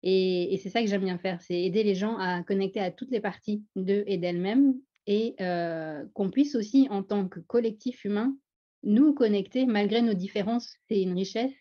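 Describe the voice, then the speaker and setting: A middle-aged woman with a Parisian accent talks in a lively, humorous way about herself. Her pitch sits in an ordinary middle range. The audio is a little dull, as on a video call. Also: normal speed. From France. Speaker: guest. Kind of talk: webinar self-introduction.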